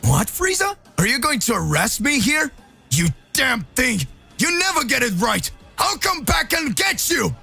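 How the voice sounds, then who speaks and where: A man's voice: sits high, big pitch swings, moderate pace, bright, forceful tone, faint music behind, pitched above the performer's own voice, exaggerated voice. A man voicing an eager, cheerful young martial artist hero. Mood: angry. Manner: upbeat and eager.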